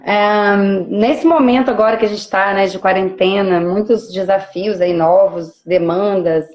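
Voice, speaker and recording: Uma educadora física que apresenta uma live e fala de forma descontraída e falante. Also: female voice, mid-range voice, a little slow. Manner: relaxed, chatty, many fillers. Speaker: physical educator and host. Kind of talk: casual live-stream interview.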